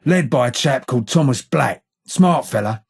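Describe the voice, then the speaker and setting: An actor voicing a gruff, sardonic old blind ghoul in a video game, with an English accent, a rough husky voice and blunt, salty slang. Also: male voice, mid-range voice, expressive, normal speed, exaggerated voice. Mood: grave, reflective. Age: older. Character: gruff, sardonic old blind ghoul, gang boss's right-hand man. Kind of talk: video game character dialogue.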